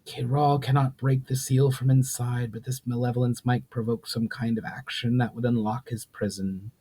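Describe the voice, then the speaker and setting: Male reader as ancient grieving sorceress, solemn, weary, pained, slow delivery, fantasy storybook. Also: medium pitch, flat delivery, warm tone, slightly rough. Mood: grave, weary.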